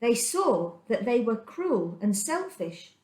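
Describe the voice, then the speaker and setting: A woman reading a children's Bible story aloud as a storyteller, with dramatic, deliberate phrasing and emphasis, recorded in an echoey room. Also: a little high, big pitch swings, darkish tone.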